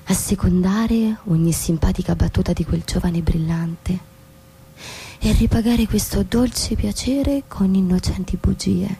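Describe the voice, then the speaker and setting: Mellow-voiced, disillusioned wife. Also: female voice, fairly low pitch, husky, heavily acted. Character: disillusioned wife, coldly defiant toward her husband. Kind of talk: theatrical stage-play acting for radio.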